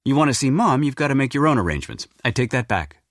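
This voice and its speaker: A man voicing a blunt, brisk grown daughter making plans with her father. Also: mid-range voice, sing-song, no echo, studio quality, voice pushed up, close to an everyday voice.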